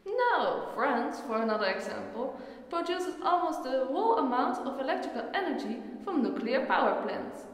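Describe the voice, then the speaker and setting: Girl playing a patient know-it-all friend explaining stuff in an educational film, high voice, super echoey. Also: sing-song, moderate pace. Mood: earnest, concerned. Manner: explanatory, instructive. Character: knowledgeable, earnest female friend explaining energy patiently. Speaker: character in a student educational film. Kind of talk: scripted educational dialogue. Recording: very echoey hall-like reverberant room.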